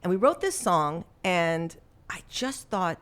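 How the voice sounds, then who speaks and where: A fairly low-pitched, middle-aged woman talking in relaxed interview chat. She tells her stories loosely, with small restarts along the way. She breaks into easy laughter often. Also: slow pace. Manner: chatty storyteller, laughs often, frequent restarts. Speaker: songwriter guest.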